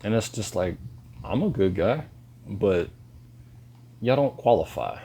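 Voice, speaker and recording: A man, lecturing bluntly in a halting monologue to camera. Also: lively intonation, mellow, slight background noise. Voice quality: deep voice. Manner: slow and halting, pauses and restarts. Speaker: vlogger.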